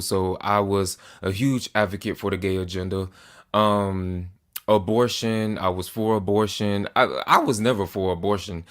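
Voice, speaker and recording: A man in his twenties giving an earnest, deliberate to-camera monologue as a political commentator, with small restarts. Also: on the low side. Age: 20s.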